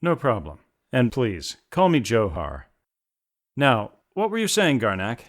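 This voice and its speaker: An actor playing a polite, inquisitive, self-assured mercenary guest in an audio drama. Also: male voice, mid-range voice, wildly swooping pitch, measured pace. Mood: courteous, curious.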